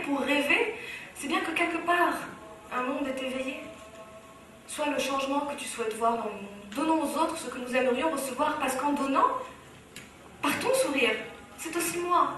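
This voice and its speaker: A woman's voice: high-pitched, fairly bright tone, a little hiss or hum. A Moroccan woman on stage delivering a rhythmic, impassioned spoken-word slam in a large hall. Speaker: speaker. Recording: very echoey hall, from far off.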